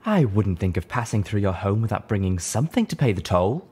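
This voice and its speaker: A man's voice: on the low side, big pitch swings, a little fast, mellow, breathy edge. A man voicing a grand, honeyed unicorn mare diplomat, lofty and formally polite in a storybook reading. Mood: sweetly placating.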